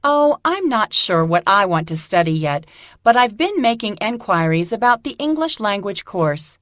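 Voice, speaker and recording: Actress as curious, friendly student: very varied intonation, scripted exam dialogue, no room echo. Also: female voice, medium pitch, sing-song, moderate pace, fairly bright tone. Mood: curious, encouraging. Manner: clearly enunciated, scripted. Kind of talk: scripted dialogue for an English listening test.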